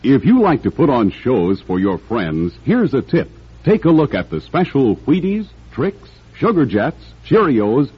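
A man with a husky voice delivering a 1950s radio commercial pitch aimed at children. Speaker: commercial announcer. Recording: dull old radio recording.

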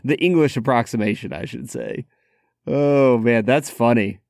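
A man with a mid-pitched voice co-hosting a podcast, making dry, sarcastic jokes. He often stumbles and repeats words at the start of a thought. The mic is close and dry. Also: moderate pace, creaky, darkish tone, no room sound. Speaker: podcast co-host. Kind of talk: casual podcast chat.

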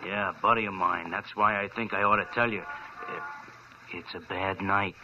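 A man playing a quiet, menacing thug. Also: fairly even intonation, moderate pace, lightly acted. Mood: quietly menacing. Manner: low, quiet and threatening. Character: menacing tall male thug, sly and tough. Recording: dull old radio broadcast with hiss.

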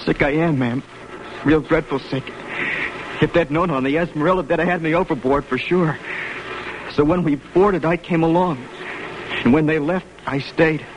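Man acting a sickly young sailor lad, higher-pitched. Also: normal speed, close to an everyday voice. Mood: miserable. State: feverish, weak. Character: weak, sick runaway New England sailor boy, polite. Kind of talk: old-time radio drama acting. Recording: noisy old radio recording.

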